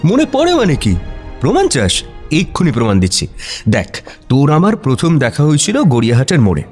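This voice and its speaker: Husky, playful young husband. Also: male voice, mid-range voice, wildly swooping pitch, quick pace, a little mellow. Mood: nostalgic, animated. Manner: teasing, conversational, insistent. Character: playful, pleading young husband with failing memory. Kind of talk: Bengali radio romance acting. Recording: music bed under the voice.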